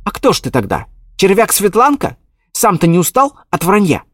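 A man's voice: high voice, sing-song, normal speed, voice pushed up. A man doing a cocky little teddy bear. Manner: cocky, shouty, mocking. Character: small hot-tempered teddy bear.

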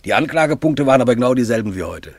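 Husky, cynical cleric. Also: male voice, mid-range voice, expressive, very fast, dry recording. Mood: sardonic. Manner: sardonic. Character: cynical, worldly male cleric, slyly amused. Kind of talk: classic German radio drama dialogue.

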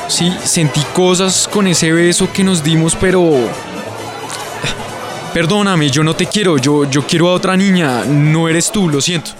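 A man playing a polite, slightly awkward popular schoolboy in a radio drama, speaking gently and hesitantly with a Colombian accent. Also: a little high, measured pace, natural voice. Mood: awkward, apologetic. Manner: hesitant, gentle, repeats himself. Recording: music under the voice.

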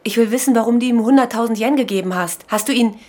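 An actress playing a desperate young mother in a radio play, confrontational and halting as she challenges someone. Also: a little high, a little mellow, close to an everyday voice. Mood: suspicious, probing. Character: desperate, combative young mother who sells herself. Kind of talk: German radio play acting.

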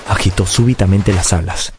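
An actor narrating a radio drama as a grown man recounting his childhood, in a measured, solemn and tender way. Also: deep voice, fairly even intonation, background noise, lightly acted. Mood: solemn, wistful.

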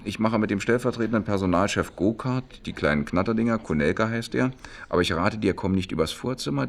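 A man's voice: lowish voice, creaky. An actor in a German radio play, playing a brash, streetwise, out-of-work textile man with a blunt, wry, colloquial way of talking.

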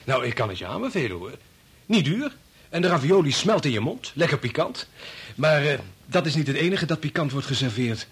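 A smitten chatty ladies' man in a dutch radio play, really husky voice, jovial. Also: on the high side, very animated, fairly quick, a bit bright, full character voice. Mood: chatty, relishing. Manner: jovial, gossipy. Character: chatty, girl-chasing man, cheerful and smitten. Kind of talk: Dutch radio drama acting.

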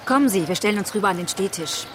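An actress playing an assertive police commissioner in a radio drama, speaking in a dry, pointed, naturalistic way. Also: female voice, medium pitch, animated, quick pace, music in the background, close to an everyday voice. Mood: dry, guarded.

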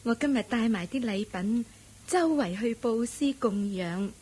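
A devout, well-off lady in a Buddhist radio play. She is courteous and deferential, her fairly high, slightly breathy voice moving at an unhurried pace. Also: expressive, dark tone. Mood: courteous, eager. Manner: polite, deferential. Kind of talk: Cantonese radio drama acting.

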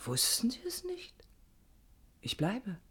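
An actress playing an impulsive, defiant young woman architect in a radio drama, expressive and teasing. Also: fairly high pitch, takes it slowly, husky, full character voice. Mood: playful, resolute. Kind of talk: 1980s German radio drama acting.

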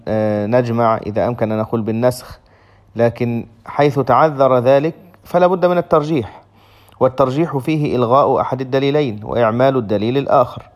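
Guy lecturing fast on law, keeps rephrasing each point, mid voice. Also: medium pitch, darkish tone. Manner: methodical, restates each point in simpler words. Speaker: Islamic law teacher. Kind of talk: lecture explaining a legal text.

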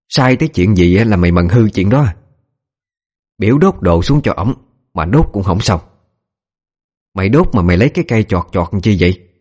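A man's voice: lowish voice, a little mellow, breathy edge. A man voicing a cruel middle-aged mistress of a rich household, berating in a Southern Vietnamese accent in an audio story. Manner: berating, snarling word by word.

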